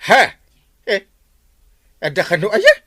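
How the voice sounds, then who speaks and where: A man's voice: high-pitched, huge pitch swings, takes it slowly, fairly bright tone, no room sound, exaggerated voice. A man with an Ilocano Filipino accent acting a skeptical, stammering comic villager in a radio comedy drama. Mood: doubtful. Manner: hesitant interjections, exaggerated swoops.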